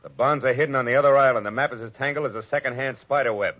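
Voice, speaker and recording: An actor playing a wry, wisecracking hard-boiled private detective who narrates a 1940s radio drama. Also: male voice, mid-range voice, very fast, dry recording, lightly acted. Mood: tough. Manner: dry, wisecracking, clipped. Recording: lo-fi old radio broadcast with music.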